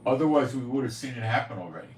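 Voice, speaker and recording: A man's voice: medium pitch, lively intonation, rapid-fire. A man hosting a prophecy commentary channel, talking in a casual, rambling monologue with a blunt, scoffing, opinionated manner. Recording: echoey room, far from the mic, faint noise.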